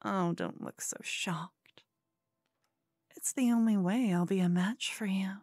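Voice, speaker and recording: A woman's voice: medium pitch, slow, a little mellow, dry recording, studio quality. A woman playing a cute, obsessed, scheming yandere con woman in an ASMR roleplay, coy and cooing, often slipping into whispers. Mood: soothing, sinister.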